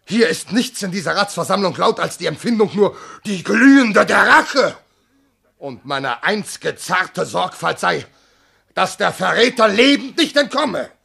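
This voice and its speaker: An actor playing an outraged, humiliated Theban general in a classical radio play, declaiming verse with tragic passion. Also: male voice, high-pitched, animated, unhurried, creaky, pushed and bright, slightly rough, heavily acted. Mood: despairing, vengeful. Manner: impassioned, tragic declamation, exclaiming. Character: outraged, humiliated Theban general denied his own identity.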